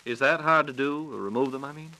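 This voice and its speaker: A male host from 1940s radio, heard on a vintage broadcast recording. His mid-pitched voice is bright and crisp-edged. He addresses his listeners and co-presenter with polished, courteous formality. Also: fast talker. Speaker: radio program host and announcer. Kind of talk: 1940s radio announcing and interviewing.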